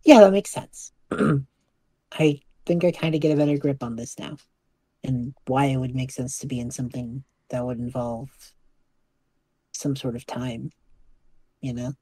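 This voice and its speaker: Woman playing a very low, husky young student. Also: a little slow, long pauses, warm tone, no room sound, exaggerated voice. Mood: attentive, agreeable. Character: attentive, curious young visitor with a school project. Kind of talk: audio drama dialogue, naturalistic.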